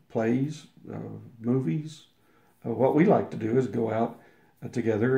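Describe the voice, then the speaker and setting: Older man, interview guest, rambling storyteller, gravelly, roomy echo. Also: mid-range voice, mellow. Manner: rambling anecdotes with restarts and acted-out quotes. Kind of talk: casual storytelling.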